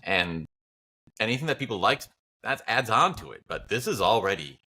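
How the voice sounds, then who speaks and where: A man's voice: fairly low pitch, very animated, moderate pace, pushed and bright. A man chatting casually as a podcast guest, talking in a reflective, encouraging and informal way. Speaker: podcast guest, audio drama creator. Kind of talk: casual chat.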